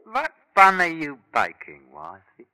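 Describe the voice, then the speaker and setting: A slow, gruff jailbird husband. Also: male voice, a little high, huge pitch swings, bright, forceful tone, no echo, heavily acted. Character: gruff, greedy jailed husband, slow to catch on. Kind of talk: 1950s radio drama acting.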